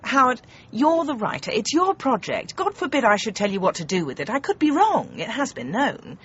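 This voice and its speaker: An actress playing a brisk, domineering TV comedy producer in a radio comedy drama, emphatic and sardonic. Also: female voice, a little high, sing-song, a bit bright, lo-fi sound, slight background noise. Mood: persuasive.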